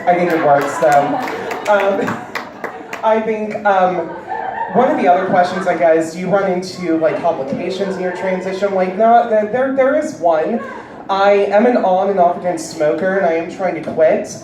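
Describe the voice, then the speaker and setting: A woman with a deep voice doing a stand-up comedy set with a wry, deadpan delivery, heard on stage before a live audience. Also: moderate pace, noisy background. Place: large indoor venue with live audience. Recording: echoey hall recorded from far off, loud crowd. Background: audience cheering and laughing.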